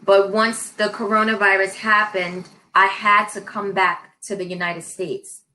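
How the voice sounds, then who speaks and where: A young woman from New York City talks as an online English tutor over a video call. Her tone is bright and clear, and she tends to repeat phrases in a friendly, measured way. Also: medium pitch, little pitch movement, slight background noise, rough recording. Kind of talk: casual video-call conversation.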